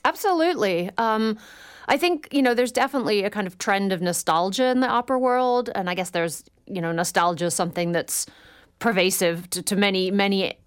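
A woman's voice: medium pitch, a little fast, clear, resonant tone. A woman speaking as a guest author on a radio call-in show, talking in an articulate, enthusiastic and thoughtful way.

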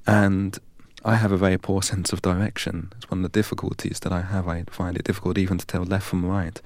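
A man from England, a guest in an interview, telling his life story in a measured, precise and earnest way. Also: low voice, little pitch movement, darkish tone, no echo. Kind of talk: reflective personal storytelling.

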